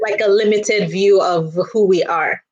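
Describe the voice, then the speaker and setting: Female interview guest, medium pitch, casual and chatty explainer. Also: fairly even intonation, measured pace, highs cut off, a crowd in the background. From Nigeria. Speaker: guest, children's storyteller.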